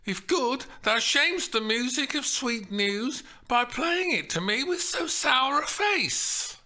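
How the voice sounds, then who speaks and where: A man's voice: very high-pitched, expressive, takes it slowly, bright, forceful tone, pitched above the performer's own voice, exaggerated voice. A man voicing a young woman who reads Juliet's lines in eager panic, pleading and breathless.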